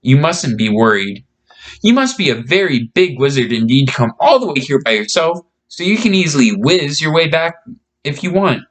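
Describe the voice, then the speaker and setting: A man's voice: fairly high pitch, very animated, normal speed. A man voicing a friendly, reassuring young girl in a storybook reading, chatting and explaining things simply.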